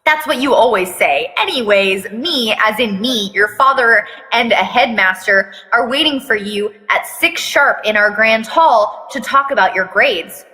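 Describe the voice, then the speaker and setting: A woman acts out a stern, exasperated mother from a story reading. The voice is fairly high and forceful, nagging and scolding throughout, with long reverb around it. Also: expressive, measured pace, few pauses. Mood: nagging, suspicious.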